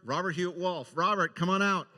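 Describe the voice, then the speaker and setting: A man hosting unscripted, introducing things effusively and enthusiastically, with a halting, filler-heavy delivery. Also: high-pitched, bright, forceful tone. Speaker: podcast and convention panel host. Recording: echoey hall with long reverb.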